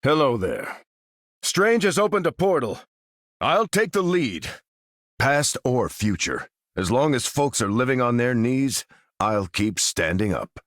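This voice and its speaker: Steadfast superhero soldier, widely varied pitch. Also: male voice, very animated, measured pace, fairly bright tone, no echo, studio quality. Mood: resolute, commanding. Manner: earnest, commanding. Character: steadfast, noble male soldier superhero leading his team. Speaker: video game hero. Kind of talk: video game voice lines.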